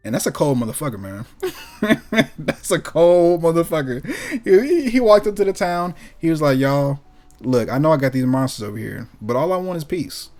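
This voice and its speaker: A man's voice: fairly high pitch, animated, moderate pace, a little mellow. A man hosting a gaming talk show, chatting casually in an emphatic, opinionated, slangy way with plenty of swearing. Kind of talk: casual chat about video game movies and anime.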